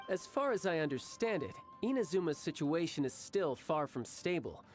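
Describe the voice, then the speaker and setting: Man playing a cocky, animated young warrior. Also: fairly high pitch, close to an everyday voice. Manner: theatrical, teasing, self-assured. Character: cocky young male warrior who relishes battle, sly and smooth. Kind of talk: video game voice acting.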